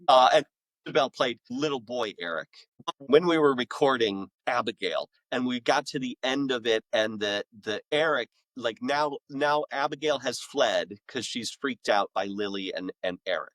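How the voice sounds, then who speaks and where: A man's voice: medium pitch, lively intonation, moderate pace, a bit bright, no echo, poor audio. A middle-aged man, a podcast guest and author, telling casual anecdotes in an enthusiastic, rambling way.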